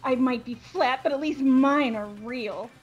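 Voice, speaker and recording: A woman's voice: high-pitched, measured pace, highs cut off. A woman playing a manic, gleefully murderous teenage schoolgirl in a video game, taunting and theatrical. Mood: gleeful menace. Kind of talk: video game character voice-over. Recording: music bed behind voice.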